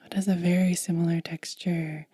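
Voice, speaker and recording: A woman whispering in soft-spoken ASMR style, gently and unhurriedly explaining each step close to the microphone. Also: fairly low pitch, little pitch movement, moderate pace, no echo. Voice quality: breathy whisper. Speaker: ASMR content creator.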